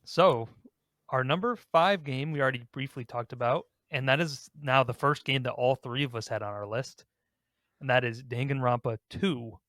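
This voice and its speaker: A man's voice: medium pitch, normal speed, a bit bright, no room sound, studio quality. A man hosting a casual group discussion, talking in a rambling, self-correcting way. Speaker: podcast host.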